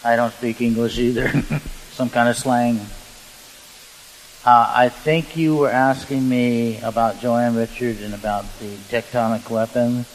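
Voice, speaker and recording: A man answering off the cuff as a conference panelist, halting and rambling, with a joking streak. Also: mid-range voice, fairly even intonation. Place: large conference hall, through PA. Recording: hissy conference hall, long reverb.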